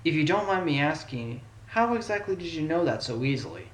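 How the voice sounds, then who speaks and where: A man's voice: fairly high pitch, big pitch swings, fairly quick. A man playing a determined, probing fox defense attorney in a fan courtroom audio drama, given to dramatic delivery. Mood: pressing, suspicious. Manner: dramatic shouted objections, pondering asides. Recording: roomy echo under loud music.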